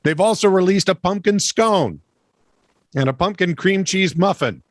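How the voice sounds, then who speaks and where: A man's voice: high-pitched, lively intonation, normal speed, dry recording. A man co-hosting a comedy news podcast, playful and mock-dramatic as he reads the news. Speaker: co-host.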